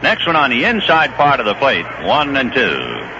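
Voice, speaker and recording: A man calling a baseball game play by play on the radio, chatting easily about the players between pitches. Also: normal speed, noisy background. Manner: relaxed, conversational storytelling between pitches. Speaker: play-by-play announcer. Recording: dull lo-fi 1970s radio broadcast.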